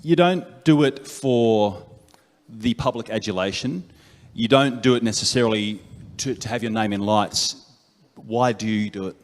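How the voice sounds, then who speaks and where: A man hosting an awards ceremony, asking gentle, measured questions on stage. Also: lowish voice. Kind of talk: onstage interview and emceeing. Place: large event hall. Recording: long hall reverb.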